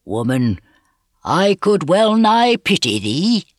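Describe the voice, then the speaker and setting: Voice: medium pitch, big pitch swings, slow, dry recording, studio quality, exaggerated voice. A reader voicing a bitter, gloomy, vengeful old male physician with grave, archaic, rhetorical speech in a storybook reading. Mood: pitying.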